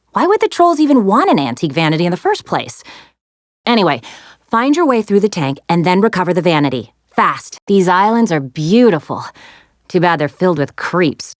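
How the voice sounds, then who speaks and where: An actress voicing a plucky, sassy young woman adventurer in a video game, expressive and wry as she briefs heroes. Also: on the low side, dry recording, studio quality. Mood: brisk, wry. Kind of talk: animated video game character lines, giving mission briefings.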